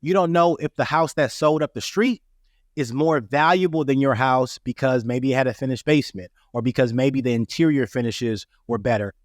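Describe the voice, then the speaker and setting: A man hosting an instructional podcast, his voice raspy from a cold, talking in an earnest, explaining way. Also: medium pitch, moderate pace, dry recording, studio quality. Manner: earnest, repeats "right?" and "that being said". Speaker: podcast host. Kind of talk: casual instructional podcast talk.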